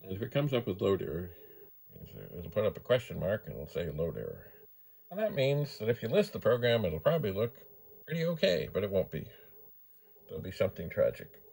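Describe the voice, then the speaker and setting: A man's voice: fairly low pitch, sing-song, brisk, gravelly, darkish tone, slightly rough, low-quality recording. A man talking casually as he demonstrates something for a vlog, rambling along and playfully repeating his phrases. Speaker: vlogger demonstrating vintage computers.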